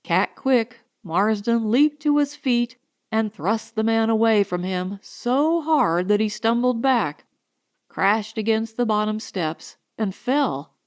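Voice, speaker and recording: Audiobook narrator: woman, higher register, very measured pace, scripted storytelling. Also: expressive.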